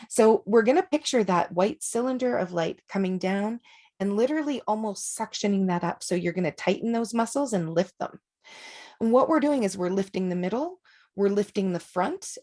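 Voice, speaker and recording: A woman's voice: medium pitch, vocal fry. Chatty woman guiding a breathing exercise. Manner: relaxed, chatty, with frequent fillers and restarts. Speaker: energy healer leading a group session. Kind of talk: guided breathing and meditation instruction.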